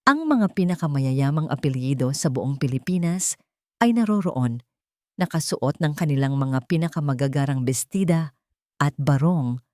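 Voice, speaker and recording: A woman narrator tells a dramatic story in a scripted style. Her voice sits a little low and moves widely in pitch. The recording is close and dry. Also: lively intonation, moderate pace, a little mellow, dry recording.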